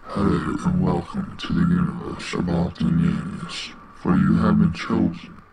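A man voicing an ominous otherworldly announcer, theatrical, with a deep gravelly voice electronically pitched down and layered. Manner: slow, theatrical welcome. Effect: pitch-shifted down, layered, processed. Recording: very noisy, muffled, processed home recording.

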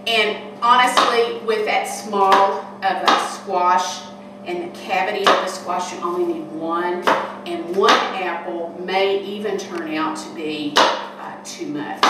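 An older woman in her sixties talks through a recipe in a homespun, chatty way as she cooks. Her voice comes from some way off the microphone and rings around a big, hard kitchen hall. A steady hiss sits underneath. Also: fairly low pitch, measured pace. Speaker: cooking show host. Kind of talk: casual cooking demonstration. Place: large kitchen hall indoors. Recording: echoey room from far off, noisy.

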